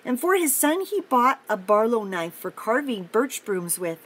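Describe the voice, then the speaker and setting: An older woman reading a picture book aloud to children, pausing for simple, encouraging chatty asides about the pictures. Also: fairly high pitch, very animated, a bit bright. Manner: encouraging, explains pictures simply to young listeners.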